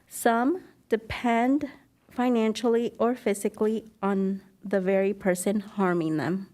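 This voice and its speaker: A woman getting over a cold, an elder abuse advocate giving an earnest prepared presentation at a podium mic in a council chamber, clearing her throat now and then. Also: medium pitch, fairly even intonation, unhurried, darkish tone. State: recovering from a cold. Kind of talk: formal presentation to a commission.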